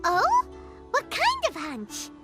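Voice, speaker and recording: Tiny excitable game fairy, super high squeaky kid voice, swoops all over the place. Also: female voice, slow, exaggerated voice. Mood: curious, urgent. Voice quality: squeaky, childlike. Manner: chirpy, excitable, refers to herself by name. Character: small childlike female fairy companion, excitable and indignant. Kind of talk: English video game voice acting. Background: game music.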